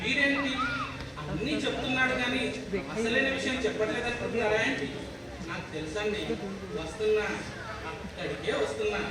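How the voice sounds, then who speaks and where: A man's voice: high voice, a little fast, pauses often, natural voice. A man acting as a chatty TV awareness show presenter in a stage play, emphatic and teasing, putting rhetorical questions to viewers. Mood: confiding, teasing. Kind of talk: theatrical scripted presentation in a one-act play. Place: echoey hall, voice picked up from afar. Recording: very echoey, distant mic, noisy hall.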